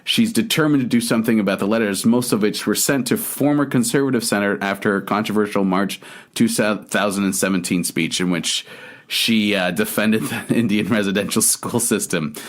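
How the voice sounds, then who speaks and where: A man's voice: lowish voice, brisk. A man co-hosting a casual podcast, rambling with restarts, reading news aloud and cursing in mockery. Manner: rambling, reads news aloud, profane and mocking. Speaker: podcast co-host.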